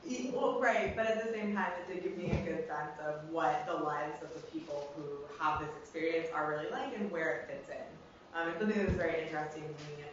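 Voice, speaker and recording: Young American female student presenter, informal and halting, muffled by a dull recording with clear background hiss. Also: deep voice. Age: young adult. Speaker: student researcher presenting fieldwork. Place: reverberant indoor room, recorded from afar. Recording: very echoey room, distant muffled mic, noisy.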